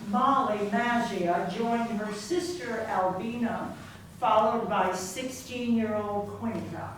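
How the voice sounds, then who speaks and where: A woman's voice: mid-range voice, slow. A woman giving scripted narration for a readers theater performance. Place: library readers theater performance, distant microphone. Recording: very echoey, distant mic, faint noise.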